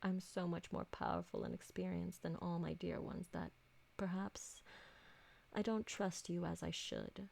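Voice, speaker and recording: Woman acting a creaky-voiced, refined vampire noblewoman. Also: on the low side, fairly even intonation, a little mellow, exaggerated voice. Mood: tender, grateful. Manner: formal, refined, deliberate diction. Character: elegant possessive female vampire noble, doting on her servant. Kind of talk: scripted roleplay ASMR audio drama.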